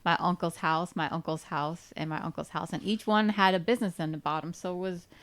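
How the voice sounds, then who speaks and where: A middle-aged woman from Trinidad, chatting with her grown son about family in a relaxed, storytelling way. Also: fairly low pitch, fairly quick. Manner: relaxed storytelling with frequent laughs. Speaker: mother being interviewed. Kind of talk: casual family chat.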